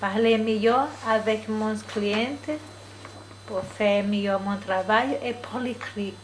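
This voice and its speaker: A woman learning French, speaking haltingly with non-native phrasing. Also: medium pitch, level tone, a little hiss or hum.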